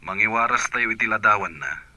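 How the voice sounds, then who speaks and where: A man playing a steady, practical husband, bright voice. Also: on the low side, a little fast, no echo. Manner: steady, advising. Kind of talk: radio drama acting.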